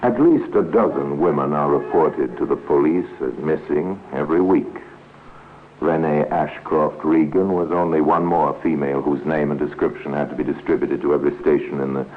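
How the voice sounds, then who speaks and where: An extremely deep-voiced storyteller of an old radio crime series. He is measured and grave with a wry edge. The vintage recording is tinny, with some hiss. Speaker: narrator. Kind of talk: 1950s radio crime drama narration.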